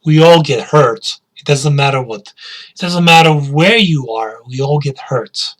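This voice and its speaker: Slow-talking man teaching a lesson. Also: a little high, animated, a bit bright, rough recording. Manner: asks questions and repeats key words for learners. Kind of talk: slow spoken English lesson.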